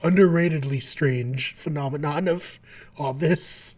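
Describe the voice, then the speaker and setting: A man co-hosting a casual podcast whose voice sounds worn out and close to giving out. He keeps his replies short and laid-back, often dropping to quiet asides. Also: fairly high pitch, a little slow. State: tired, nearly lost voice. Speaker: podcast co-host. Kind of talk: casual chat.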